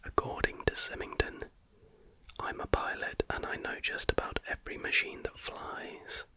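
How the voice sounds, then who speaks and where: ASMR host, breathy whisper, bright crisp tone, measured factual reading. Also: dry recording. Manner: measured, hushed reading aloud.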